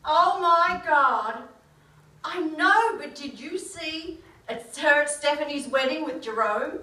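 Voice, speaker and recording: A woman's voice: high-pitched, animated, slow, pushed and bright, full character voice. A woman playing a chatty, scandal-loving gossip with an exaggerated manner in a live stage comedy. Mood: gossipy. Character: chatty, scandal-loving gossiping female shopper or checkout worker. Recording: echoey theatre, distant microphone.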